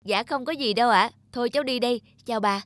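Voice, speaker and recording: An actor voicing a dutiful young rural postman in an animated folk tale, gentle, polite and reassuring. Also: medium pitch, fast, background noise, close to an everyday voice.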